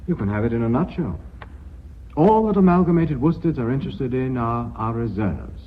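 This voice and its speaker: An actor playing a suave, sardonic company director in a radio play, speaking with urbane, dry irony. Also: male voice, mid-range voice, expressive, moderate pace, natural voice. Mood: grave, composed. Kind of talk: British radio play acting. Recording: thin, phone-like radio recording.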